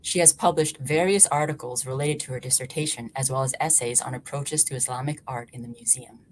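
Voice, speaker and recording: A woman's voice: very deep voice, a little fast. A woman hosting an event, reading a scripted, formal introduction of a speaker. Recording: faint background noise.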